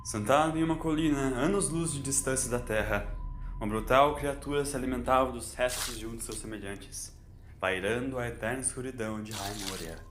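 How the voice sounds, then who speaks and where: A man's voice: mid-range voice, fairly quick, roomy sound, slight background noise. A man with a Brazilian accent reading scripted fiction as a dramatic narrator.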